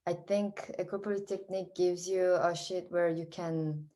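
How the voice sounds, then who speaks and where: A young woman, a student, sharing calm, plain remarks on a video call. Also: fairly low pitch, normal speed. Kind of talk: casual webinar remarks.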